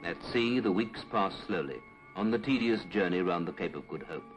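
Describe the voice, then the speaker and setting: Male British narrator, lowish pitch, formal and measured, 1950s government documentary read with prominent music underneath. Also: lively intonation, rough recording. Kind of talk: 1950s British public information film narration, scripted. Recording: 1950s film soundtrack with music.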